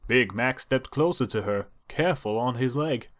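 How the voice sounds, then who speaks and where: A man's voice: medium pitch, moderate pace, fairly bright tone. A man reading a story aloud as narrator, in a dramatised storybook style.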